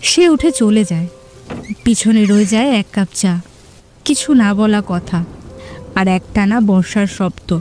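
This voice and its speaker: Woman story narrator, warm relaxed voice, poetic n measured, music playing behind. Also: mid-range voice.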